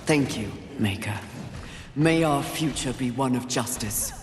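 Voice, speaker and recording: A woman's voice: extremely low pitch, a little slow. An actress playing a stern, resolute warrior horseman in a video game cutscene, speaking in a solemn, formal, declamatory way. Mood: solemn, resolved. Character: stern, resolute female horseman warrior sworn to protect humanity. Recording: echoey hall reverb, faint background noise.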